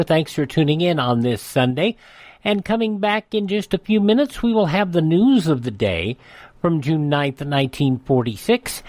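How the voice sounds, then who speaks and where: A man's voice: a little high, darkish tone, dry recording. A man hosting a radio show with polished broadcast announcing. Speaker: radio host.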